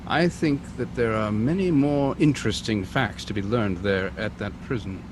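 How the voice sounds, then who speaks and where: An actor playing a calm, suave, authoritative amateur detective in a radio drama, measured and formal, with noise on the recording. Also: male voice, lowish voice, lightly acted. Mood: cool, intrigued. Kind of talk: old-time radio drama acting.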